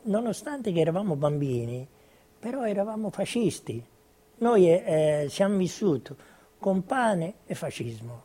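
An elderly man from Italian colonial Libya giving spontaneous recorded testimony, recalling his past in a halting way with repeated words. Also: sits high, sing-song, dark tone, slightly rough. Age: older, over 70. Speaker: witness recalling his childhood.

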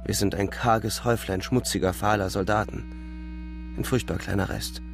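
A man's voice: fairly low pitch, fairly even intonation, moderate pace, creaky. A man playing a weary, disillusioned young soldier who narrates a radio drama in grave, terse phrases. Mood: weary, bitter. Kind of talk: literary first-person narration in German radio drama. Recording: loud music behind the voice.

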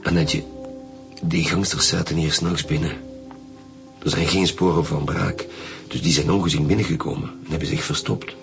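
A gravelly, low Flemish police inspector. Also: male voice, steady tone, moderate pace. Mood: probing. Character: grave, steady male police chief inspector. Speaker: radio drama actor. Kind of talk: radio drama acting. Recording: music behind the voice.

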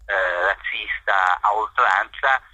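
Journalist guy answering questions, bright husky voice, lots of ehm pauses, dry sound. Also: on the low side, no room sound, muffled recording. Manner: measured, hesitant with frequent fillers. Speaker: journalist being interviewed. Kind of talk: thoughtful interview answers.

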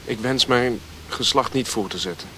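An actor playing an aloof, solitary scholarly recluse in a radio play, speaking formally and with measure. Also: male voice, medium pitch, moderate pace, sharp tone, natural voice. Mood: aloof, defiant. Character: aloof, solitary Pedro Velho, scholarly Portuguese-descended recluse. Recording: noisy old radio play recording.

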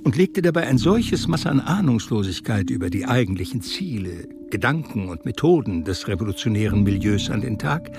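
A man's voice: on the low side, sing-song, normal speed, creaky, hardly any pauses. A man narrating a radio drama in a literary, scripted style. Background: music.

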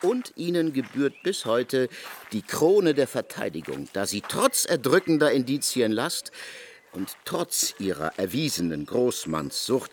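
An actor playing a pompous, probing criminologist in a German radio drama, speaking in an emphatic, lecturing and insistent way. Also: male voice, medium pitch, a little hiss or hum. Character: pompous, probing male criminologist interrogating a convicted killer.

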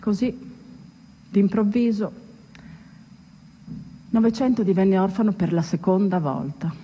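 A woman actor delivering a theatrical storytelling monologue, phrasing deliberately and dramatically with pauses. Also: medium pitch, warm tone, lo-fi sound, a little hiss or hum. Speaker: stage actress narrator. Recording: echoey reverberant hall, music underneath. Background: music.

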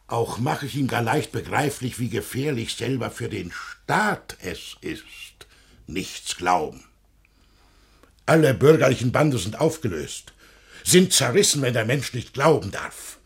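Gravelly, zealous, scheming churchman. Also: medium pitch, sing-song, unhurried, heavily acted. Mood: fanatical indignation. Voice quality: gravelly, husky. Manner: declamatory, unctuous, swelling from hush to outburst. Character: zealous, scheming, fawning churchman, male, older. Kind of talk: classical German radio play acting.

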